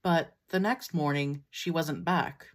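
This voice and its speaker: A Filipino American woman who tells a folktale in a relaxed, matter-of-fact way. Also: sits low, slow. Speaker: storyteller. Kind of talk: casual storytelling.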